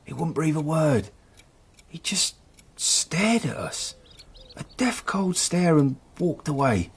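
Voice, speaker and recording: A man's voice: a little high, very animated, slow pace, husky, full character voice. A man playing a nervous petty crook and hapless henchman in a radio drama, with an English accent and a hesitant, self-excusing manner. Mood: confessing, then anxious, pleading. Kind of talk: British radio drama acting.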